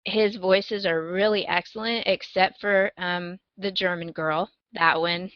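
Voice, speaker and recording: A woman's voice: on the low side, fairly even intonation, unhurried, no room sound, dull audio. A woman co-hosting a podcast, chatting casually in a conversational, wry and emphatic way as she gives recommendations. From United States. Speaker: podcast co-host.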